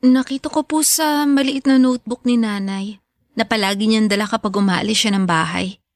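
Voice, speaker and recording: A woman's voice: a little high, moderate pace, no room sound, studio quality, natural voice. A woman with a Filipino accent playing an earnest, hurt young daughter pleading politely in a radio drama.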